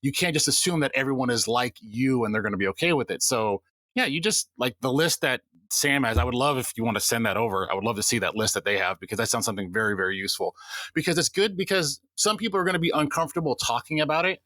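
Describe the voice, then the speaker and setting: A man's voice: mid-range voice, fast, sharp tone, no echo. A man who runs a podcast game, talking casually on a panel and acting out the questions he asks his players.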